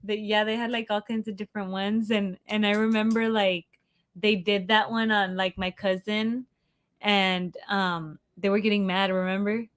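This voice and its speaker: A woman co-hosting a casual chat, telling things informally and haltingly, often repeating her words. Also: medium pitch, little pitch movement, clean tone. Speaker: podcast co-host.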